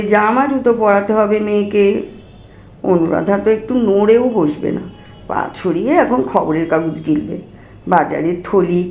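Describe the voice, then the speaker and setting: A woman narrating a short story in an expressive audiobook style. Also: mid-range voice, reverberant.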